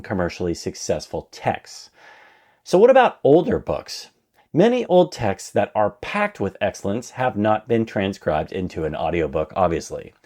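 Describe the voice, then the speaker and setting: Lively low-voiced male essay narrator. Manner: refers to himself as "we". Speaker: podcast narrator. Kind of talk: reading a prepared essay aloud.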